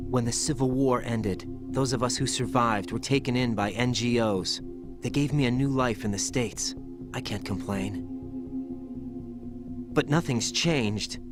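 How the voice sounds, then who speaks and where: A man's voice: medium pitch, a little slow, natural voice. A man playing a haunted young former child soldier, bitter and guilt-ridden, in a somber, confessional video game performance. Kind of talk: dramatic video game voice acting. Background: background music.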